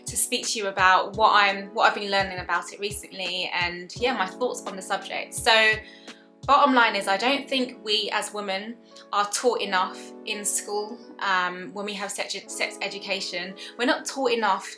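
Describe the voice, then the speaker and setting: Bright-voiced woman around thirty chatting earnestly to camera, lots of ums and restarts, soft music in the back. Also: mid-range voice, fairly even intonation, moderate pace. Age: about 30. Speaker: wellness vlogger. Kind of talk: casual talk to camera.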